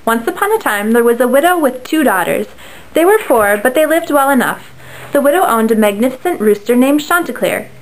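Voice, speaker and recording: A woman's voice: high-pitched, lively intonation, fairly quick, low-quality recording. A young woman reading storybook narration aloud for a class puppet show.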